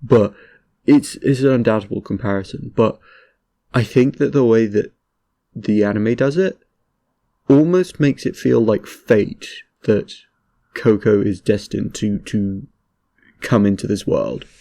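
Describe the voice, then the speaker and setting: A man with a cold, speaking in a stuffy voice as he rambles casually through an anime review, halting with many restarts. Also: lowish voice, dark tone, dry recording. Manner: halting, lots of restarts and fillers.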